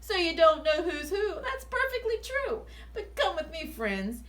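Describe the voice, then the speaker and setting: Woman putting on Sylvester McMonkey McBean, sly animated storybook salesman. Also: very high voice, unhurried, voice pushed up, full character voice. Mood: wheedling, sly. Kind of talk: animated children's storybook read-aloud.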